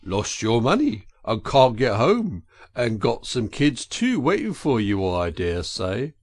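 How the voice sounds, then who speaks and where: A man's voice: mid-range voice, huge pitch swings, slow, no room sound, heavily acted. A man voicing a burly, good-natured engine driver, blunt and folksy, in an audiobook. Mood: concerned. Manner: blunt, folksy, matter-of-fact. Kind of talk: audiobook character voice.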